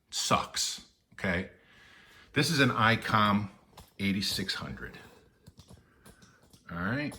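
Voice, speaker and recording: Bright-voiced, low-pitched man demonstrating gear. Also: a little hiss or hum. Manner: blunt, opinionated. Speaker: radio hobbyist demonstrating equipment. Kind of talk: casual unscripted gear demonstration.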